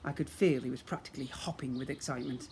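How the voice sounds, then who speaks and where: A man giving an expressive storybook narration, as a teacher reads to young children. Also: medium pitch, fast. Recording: clear background noise.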